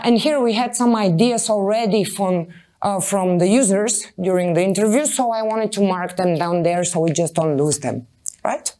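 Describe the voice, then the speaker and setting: A woman in her thirties with a Bulgarian accent, giving an animated conference talk to an audience and often checking in with "right?". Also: mid-range voice. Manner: animated, addresses audience as "guys", frequent "right?" tags. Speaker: conference speaker. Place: meetup room with projector and audience. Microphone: handheld mic.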